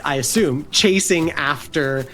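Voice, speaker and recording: A woman's voice: sits low, a little slow, faint music behind. A woman game master narrating a tabletop audio drama in improvised, conversational story style.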